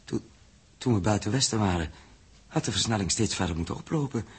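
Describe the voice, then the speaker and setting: An actor playing a questioning, sceptical male astronaut in a 1960s Dutch radio drama, halting when puzzled. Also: lowish voice, expressive, breathy edge, exaggerated voice. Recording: muffled-edged old 1960s radio recording.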